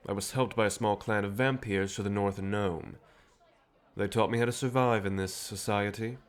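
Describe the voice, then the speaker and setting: An actor playing a cheerful, self-assured, century-old vampire rebel leader in an audio drama, courteous and persuasive with a theatrical manner. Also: male voice, fairly low pitch, fairly even intonation, normal speed, clear, resonant tone, lightly acted. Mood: earnest, proud. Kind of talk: scripted audio drama acting.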